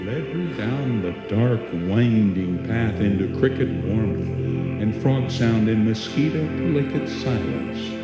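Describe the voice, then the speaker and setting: A man performing as the narrator of a live old-time radio suspense play, deliberate and atmospheric. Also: fairly low pitch, lively intonation. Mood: ominous. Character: storyteller narrator of a suspense tale, measured, ominous. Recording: echoey hall with music behind.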